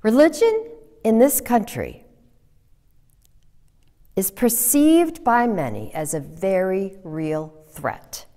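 A middle-aged woman pastor giving an earnest sermon, sometimes dropping to a hushed whisper for emphasis. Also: mid-range voice, sing-song, slow, long pauses. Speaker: Lutheran pastor. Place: church chancel, indoors. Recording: long hall reverb in a church.

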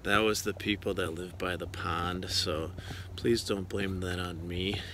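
A man in his forties filming himself ice fishing outdoors with a handheld phone, chatting in an excitable, self-deprecating way. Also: low-pitched, measured pace, slight background noise. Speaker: fishing vlogger. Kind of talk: casual vlog narration. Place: outdoors on a frozen pond. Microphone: phone camera at arm's length.

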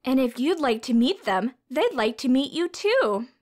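A woman's voice: high voice, sing-song, normal speed. A woman reading a storybook aloud to young children as a teacher, expressively, with simple questions and explanations.

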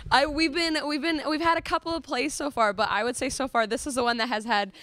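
A woman's voice: high voice, steady tone, quick pace, a bit bright, no room sound. A young woman hosting casually between live radio plays, chatty and enthusiastic. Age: young adult. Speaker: host.

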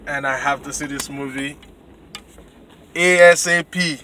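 A man in his thirties with a Nigerian accent, vlogging excitedly from his car at night into a handheld camera. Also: fairly high pitch, measured pace, slight background noise. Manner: excited, chatty. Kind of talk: casual vlog. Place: car at night, then city street. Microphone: handheld camera at arm's length.

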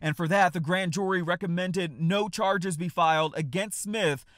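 Guy in his 30s doing a news rundown at home, kinda high voice, dry close sound. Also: normal speed, fairly bright tone, dry recording. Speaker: news host. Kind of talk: scripted news narration. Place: home office, indoors.

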